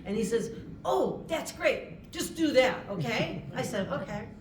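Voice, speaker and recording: Woman panelist, storyteller, middle pitch, distant mic in a noisy hall. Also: lively intonation, measured pace. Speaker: actor on a convention panel. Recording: echoey hall, distant mic, background noise.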